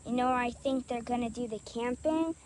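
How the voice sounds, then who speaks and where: A female co-host with a high voice chatting casually and reading a children's storybook aloud in a deliberate way. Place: outdoors by a marshy trail. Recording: outdoor recording with clear insect and ambient noise.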